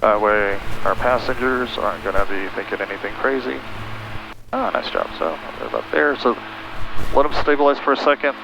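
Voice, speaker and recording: A man's voice: medium pitch, fairly even intonation, normal speed, vocal fry. An American man, middle-aged, patiently coaching someone step by step as a flight instructor, in a casual way over a headset. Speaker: pilot instructing a student. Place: small twin-engine plane cockpit in flight. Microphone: aviation headset intercom. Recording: noisy cockpit headset, engine drone.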